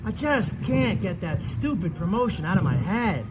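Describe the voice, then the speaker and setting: A man's voice: sits high, big pitch swings, normal speed, over loud music. A man playing an anxious, cynical middle-aged husband in a comedic stage play, stammering and self-deprecating. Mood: anxious, venting. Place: theatre stage at a festival.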